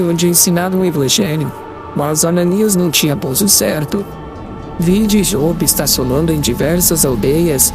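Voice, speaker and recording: A man's voice: a little high, close to an everyday voice. A man playing a humble, miserable young prisoner, meek, fearful and mournful, in a dramatized audiobook. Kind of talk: dramatized audiobook acting in formal language. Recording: music behind.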